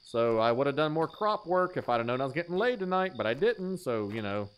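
A man's voice: fairly high pitch, very animated, a little fast, clean tone, low-quality recording. A man in his thirties giving crude, playful, exaggerated joking commentary as he streams a horror game.

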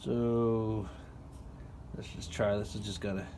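A man casually explaining a tool setup while working with his hands, chatty and relaxed with plenty of ums. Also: lowish voice, slow, noisy background. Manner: relaxed, chatty, lots of ums and you-knows. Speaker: product reviewer. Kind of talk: casual how-to narration.

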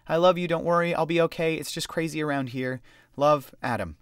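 A man's voice: fairly high pitch, brisk, smooth, no room sound, studio quality, pitched above the performer's own voice, lightly acted. A man voicing a weary, embarrassed young male air force trainee who talks in a strained, protesting way. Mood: tender.

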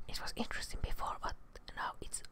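A young woman whispering breathy ASMR commentary as she plays a video game, close to the microphone. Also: fast talker, pushed and bright. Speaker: ASMR gamer, host. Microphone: desk microphone up close, headphones on.

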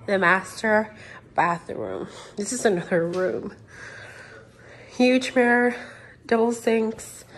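A young woman from Puerto Rico, gushing with excitement as she films a tour of her new empty house on a handheld phone. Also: on the high side, a little hiss or hum. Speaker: vlogger. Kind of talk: home tour vlog. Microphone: handheld phone camera.

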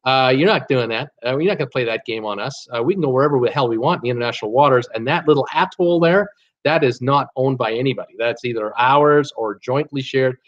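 A man's voice: mid-range voice, fast. A man in his sixties talks in a loose, rambling way on a live stream, with plenty of "uh"s between thoughts. The sound is a bit muffled, as from a webcam mic in a small home office. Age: 60s. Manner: rambling, chatty, frequent "uh" fillers, animated hand gestures. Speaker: cruise travel YouTuber hosting a livestream. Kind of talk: casual live-stream chat reading viewer comments. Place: home office with shelves of cruise mugs, indoors.

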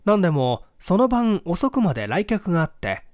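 Male audiobook narrator, formal measured storytelling, animated intonation, dry studio-like sound. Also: high-pitched, no echo. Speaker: narrator reading a classic mystery story aloud. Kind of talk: dramatic scripted audiobook narration in first person.

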